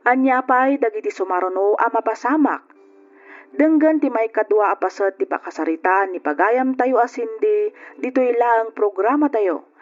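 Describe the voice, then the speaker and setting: A woman with a Filipino Ilocano accent giving scripted narration as the host of a radio drama. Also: on the high side. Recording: dull lo-fi radio with background music. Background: faint music.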